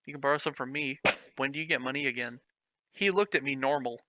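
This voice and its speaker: A man's voice: medium pitch, quick pace, sharp tone, no room sound, rough recording. A man reading a clumsy fan fiction aloud for laughs, mocking it, giggling and swearing freely between lines. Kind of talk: comedic dramatic reading with commentary.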